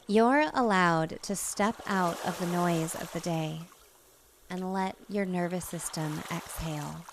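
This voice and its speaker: A woman hypnotist gently narrating a guided relaxation, soothing and unhurried, with long pauses between phrases. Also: lowish voice, slight background noise.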